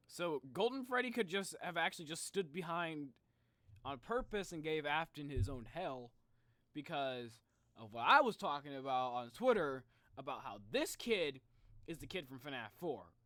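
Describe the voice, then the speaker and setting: A young man streams his reactions in a highish, bright voice. His pitch jumps around a lot as he rambles and thinks aloud in casual, animated commentary. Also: moderate pace, dry recording. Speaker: live streamer reacting to game videos. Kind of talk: casual livestream commentary and speculation.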